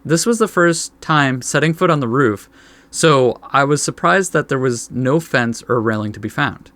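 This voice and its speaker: A man's voice: mid-range voice, lively intonation, normal speed, a bit bright, no echo. Dry-sounding man reading a game aloud casually. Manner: informal, stumbles over the text and corrects himself. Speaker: let's play host. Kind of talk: casual visual novel read-aloud with commentary.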